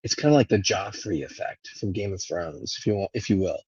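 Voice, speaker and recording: A man from Venezuela talking casually and unscripted as a livestream host, rambling and halting as his thoughts trail off. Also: low-pitched, a little mellow, breathy edge, dry recording, dull audio. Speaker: live stream host.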